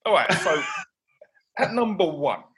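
A man's voice: sits high, very animated, slow, a bit bright. A man co-hosting a podcast with playful, boisterous slang. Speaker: podcast co-host. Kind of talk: casual banter.

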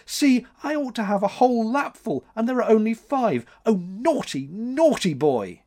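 A man's voice: high-pitched, very animated, measured pace, dark tone, voice pushed up. A man voicing a weeping, plaintive young woman, the spirit of a month, in a storybook reading. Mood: weeping reproach.

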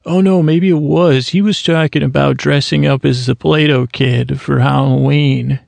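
Male sleep-podcast host, heavily gravelly creaky voice, dry close sound, meandering and self-deprecating. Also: on the high side, fairly even intonation, moderate pace, darkish tone, no echo. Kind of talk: rambling bedtime talk.

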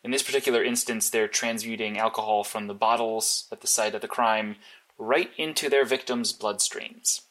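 A man's voice: fairly low pitch, fairly even intonation, normal speed, fairly bright tone, natural voice, low-quality recording. A man acting as a matter-of-fact, analytical vigilante in an audio drama, clipped and insistent. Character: blunt, analytical, sleepless male vigilante mastermind. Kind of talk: naturalistic audio drama dialogue.

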